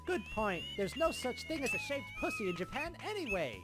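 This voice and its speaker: A performer voicing a crude, clueless young boy in a comedic fan-dubbed cartoon, rambling through deadpan asides. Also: sits high, brisk, loud music behind the voice. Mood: chatty, clueless. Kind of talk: comedic fan-dub cartoon narration and dialogue.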